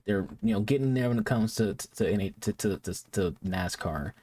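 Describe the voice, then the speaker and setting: A man from Detroit hosts a casual reaction commentary, his low voice husky at the edges. He talks quickly and rambles, restarting sentences and repeating words as thoughts catch up. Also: warm tone. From Detroit, United States. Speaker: reaction video host.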